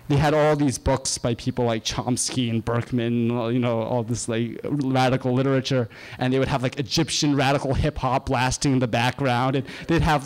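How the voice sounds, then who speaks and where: A young man from Japan tells a wandering personal story in a relaxed, chatty way. His voice sits at an ordinary middle pitch, and he stops often to fill gaps with uh and um as he finds his words. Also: poor audio. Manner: halting, full of uh and um, chatty and wandering. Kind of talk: casual personal storytelling to an audience.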